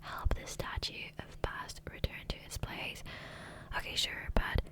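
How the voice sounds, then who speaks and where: A woman whispering softly and breathily into a close microphone in a rambling, hesitant ASMR gameplay commentary, often trailing off. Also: measured pace. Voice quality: soft, breathy unvoiced whisper. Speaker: ASMR gaming creator. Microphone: close USB condenser microphone.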